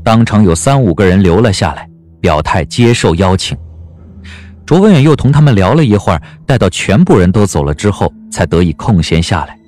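A man's voice: on the low side, big pitch swings, fairly quick, a little mellow. A man narrating a Chinese period novel as a dramatic scripted audiobook. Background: background music.

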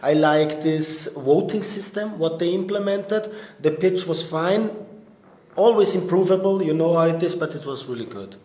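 A man's voice: a little high, dark tone. A man in his thirties, born in Bosnia and raised in Austria, as an interview guest, giving earnest answers with a slight hesitance and frequent fillers. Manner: earnest, slightly halting non-native English with fillers. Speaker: startup business developer, interview guest. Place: event press area in front of a logo backdrop, indoors. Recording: roomy event interview recording.

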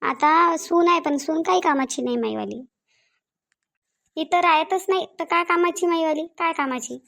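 A woman's voice: extremely high pitch, moderate pace, gravelly, a bit bright, full character voice. A woman playing a lonely, aging village mother in a cartoon, pleading and repeating herself in a Varhadi Marathi accent from Vidarbha. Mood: lonely, complaining. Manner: repetitive, lamenting. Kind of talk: cartoon dialogue.

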